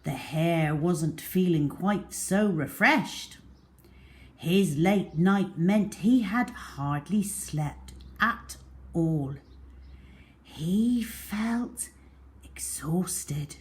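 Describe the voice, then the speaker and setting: A woman narrating a storybook for young children in an expressive, theatrical, deliberate way, letting phrase endings drop to a hush. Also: fairly low pitch.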